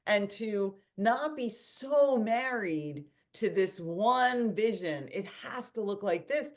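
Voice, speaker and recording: A woman's voice: medium pitch, lively intonation, slow pace. A woman hosting a webinar conversation, telling a story animatedly with restarts and quoted asides, over a video call. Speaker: host and company founder.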